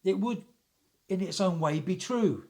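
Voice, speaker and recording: A man playing a loyal, practical young gentleman in a radio play, with an earnest, deliberate delivery. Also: high-pitched, animated. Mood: urging, conspiratorial. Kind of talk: theatrical radio play acting.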